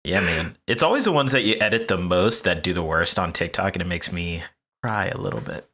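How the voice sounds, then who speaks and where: Jokey man with a low, bright voice. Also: expressive, fairly quick. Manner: jokey, laughs often. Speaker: podcast co-host. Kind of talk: casual podcast chat.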